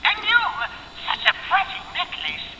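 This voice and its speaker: A man playing a flustered, polite, eager young character in an animated comic dub, stammering and overly courteous. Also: very high voice, unhurried, big-room sound, a little hiss or hum, full character voice, rough recording.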